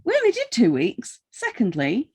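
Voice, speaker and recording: A woman's voice: fairly high pitch, wildly swooping pitch, moderate pace, warm tone, breathy edge. A British woman bantering casually as a podcast interviewer, in an irreverent, sweary way.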